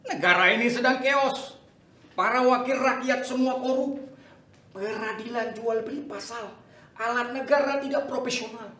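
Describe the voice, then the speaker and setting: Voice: mid-range voice, moderate pace, bright, forceful tone, natural voice. A performer playing a bombastic, authoritarian general in a theatrical dramatic reading, emphatic and commanding. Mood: fervent, defiant. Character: bombastic, authoritarian general scheming amid political chaos. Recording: echoey room, distant microphone.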